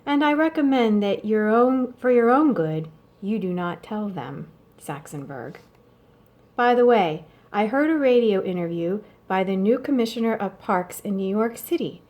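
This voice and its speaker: A woman's voice: mid-range voice, expressive, moderate pace, highs cut off, natural voice. A woman voicing a wry, bossy old wealthy woman from a storybook in a dry, matter-of-fact way. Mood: firm. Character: wry, imperious old wealthy woman dictating her wishes.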